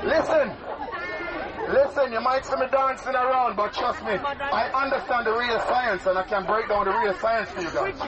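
A man from Jamaica with a Jamaican accent, chanting and talking loudly through a bullhorn outdoors near a school. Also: very high voice, moderate pace, muffled recording, crowd noise. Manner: loud, sing-song, chanting. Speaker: street campaigner.

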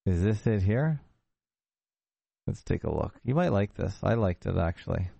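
A low, gravelly male host talks in a rambling, unhurried way as he sets up a clip. His voice is dry and close, with faint music behind him. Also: animated, dark tone, dry recording. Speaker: show host. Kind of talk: casual commentary introducing a clip.

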